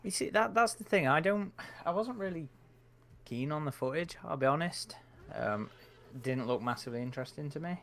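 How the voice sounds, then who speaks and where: A man's voice: fairly high pitch, lively intonation, a little fast, a bit bright, a little hiss or hum. A man, co-hosting a live game stream, talking in a thoughtful, hesitant way with many fillers. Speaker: stream co-host. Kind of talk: casual gaming chat.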